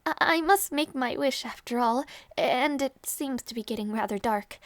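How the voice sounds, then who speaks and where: High-voiced, shy young princess acted by a woman. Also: normal speed, no room sound. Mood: tender, playful. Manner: hesitant, stammering, soft-hearted. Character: young princess, gentle, shy, easily flustered, fussing. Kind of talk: intimate audio roleplay acting.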